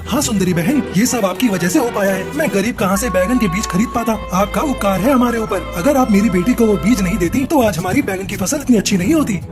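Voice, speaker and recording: A man's voice: sits high, expressive, brisk, few pauses, music in the background. A man voicing an earnest, humble male bird, a family man in a children's cartoon. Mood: grateful.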